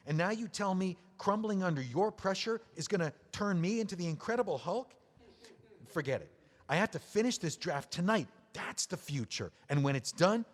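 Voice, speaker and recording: Actor for a sardonic widower: exasperated, dry wit, lively pitch, reverberant room. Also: male voice. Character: bitter, drinking widowed man, sardonic. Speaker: memoir author. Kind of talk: audio drama acting.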